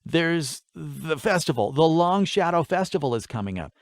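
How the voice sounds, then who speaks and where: A man's voice: on the high side, big pitch swings, moderate pace, no echo, clean studio sound, pitched above the performer's own voice. A man voicing an earnest, awkward, lovestruck schoolboy with a hesitant, eager manner in a fantasy audiobook. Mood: nervous, eager.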